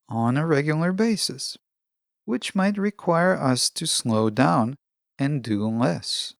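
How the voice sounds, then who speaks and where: A podcast host delivering a scripted self-help monologue in a measured, instructional way with deliberate phrasing. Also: medium pitch, gravelly, no echo, clean studio sound.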